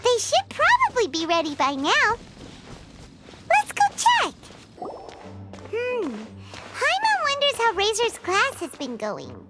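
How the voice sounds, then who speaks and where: A woman voicing a tiny, excitable childlike fairy companion in a video game, squeaky and exclamatory. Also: takes it slowly, long pauses, faint music behind, exaggerated voice. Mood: cheerful, chatty. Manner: talks about self in third person, exclamatory. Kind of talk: video game cutscene voice acting.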